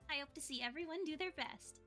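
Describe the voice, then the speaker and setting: Visual novel role: encouraging teenage club leader, high female voice, acted by a woman. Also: fast talker, fairly bright tone, soft background music.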